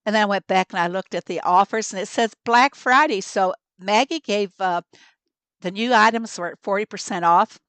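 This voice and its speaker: Hoarse, chatty woman streamer. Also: mid-range voice, moderate pace, fairly bright tone, no echo. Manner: chatty, upbeat, laughs often, talks to chat. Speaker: game streamer. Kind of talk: casual livestream chat to viewers.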